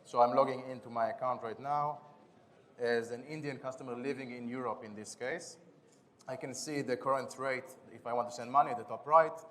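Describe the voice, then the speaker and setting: A man from Israel with an Israeli accent, giving a quick, businesslike conference pitch and product demo as a startup founder, with some restarts. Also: a bit bright, slight background noise. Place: conference stage.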